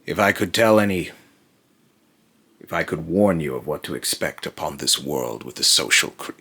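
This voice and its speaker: Deep, raspy, ominous otherworldly being voiced by a man. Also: animated, pushed and bright, heavily acted. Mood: stern warning. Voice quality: raspy, breathy, lapsing into harsh whisper. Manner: slow, halting, repeats phrases, ominous and grave. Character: brooding otherworldly male being warning about cruel mortals. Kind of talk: dark dramatic monologue.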